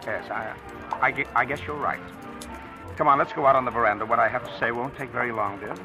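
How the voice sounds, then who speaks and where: An actor playing a smooth, menacing blackmailer who is mockingly polite and taunting, in a 1940s radio drama style. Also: male voice, mid-range voice, steady tone, close to an everyday voice. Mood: smug. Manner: suave, taunting, deliberate drawn-out politeness. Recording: old radio drama recording, music underneath.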